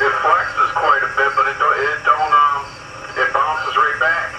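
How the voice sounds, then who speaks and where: A man's voice: on the high side, little pitch movement, measured pace, highs cut off, background noise. A man chatting casually, heard over a two-way CB radio.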